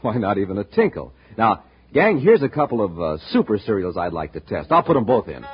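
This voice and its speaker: An American man announcing in scripted 1950s radio style, with a showman's pitch aimed at young listeners. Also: big pitch swings, a little fast. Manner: showman's hard-sell delivery aimed at kids. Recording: dull, noisy 1950s radio recording.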